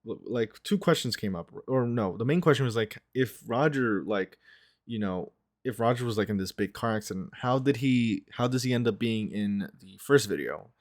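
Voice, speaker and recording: A man's voice: medium pitch, lively intonation, moderate pace. A man giving casual reaction commentary as a video host, thinking aloud as he goes. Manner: musing, thinking aloud, frequent "like" and "right".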